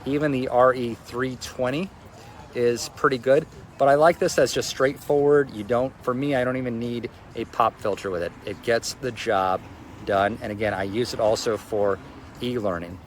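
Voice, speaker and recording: A man's voice: mid-range voice, normal speed. Brief: male reviewer, strong voice, chatty, faint outdoor noise. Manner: conversational, loose phrasing. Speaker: product reviewer. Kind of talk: casual spoken review.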